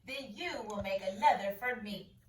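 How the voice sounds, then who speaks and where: A woman's voice: mid-range voice, moderate pace, distant mic, slight background noise, natural voice. Greedy bossy king, as a teacher reads him. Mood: demanding. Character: greedy, haughty king, grown man. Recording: echoey room, voice from off-mic.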